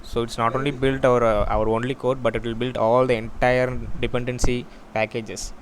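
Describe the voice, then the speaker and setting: A man giving an informal software demo walkthrough, explaining in a halting, repetitive way. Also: medium pitch. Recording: clear steady background noise.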